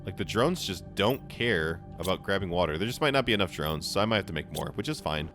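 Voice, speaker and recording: A young man with a hoarse voice, chatting casually as he plays a game for his channel, rambling with frequent restarts. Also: low-pitched, animated, fast, music in the background. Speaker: gaming channel host. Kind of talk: casual gameplay commentary.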